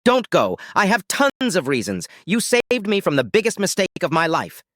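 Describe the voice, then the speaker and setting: A man's voice: mid-range voice, animated, normal speed, a bit bright, no echo, clean studio sound, pitched above the performer's own voice, lightly acted. A man voicing a distraught, pleading wife who stammers and gasps through her words. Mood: desperate, pleading.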